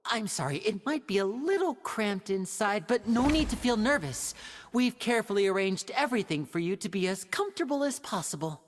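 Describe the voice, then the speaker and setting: High-voiced, showy young male magician. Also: lively intonation, fairly quick, a little hiss or hum. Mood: gracious showmanship. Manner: showy, teasing, polished. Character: flamboyant young male stage magician, playful and confident. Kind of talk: theatrical stage patter in a video game.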